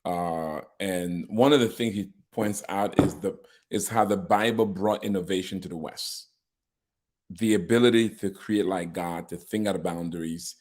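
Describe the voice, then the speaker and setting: A man's voice: sits low, moderate pace, darkish tone. A man hosting a podcast who talks casually, thinking aloud in a reflective way and laughing often. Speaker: podcast host, organization leader.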